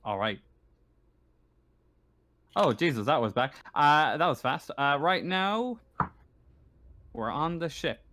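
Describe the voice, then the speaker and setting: A man speaking casually as a game master, narrating a tabletop game in a halting, hesitant way. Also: fairly high pitch, lively intonation, pushed and bright.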